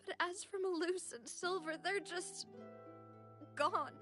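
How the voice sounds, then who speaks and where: A woman playing a sincere, big-hearted young gentlewoman in an anime video game, with an expressive delivery. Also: high voice, measured pace. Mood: grieving. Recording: background music under dialogue.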